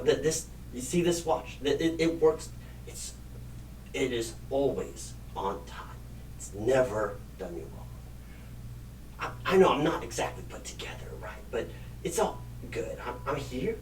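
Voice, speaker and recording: A man playing a clueless, flustered job recruiter in an ASMR comedy roleplay, stammering and repeating himself in a husky, halting voice. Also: mid-range voice, a little hiss or hum, low-quality recording. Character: flustered, clueless, absent-minded male job recruiter, apologetic and rambling. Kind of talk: ASMR comedy roleplay, celebrity-style impression.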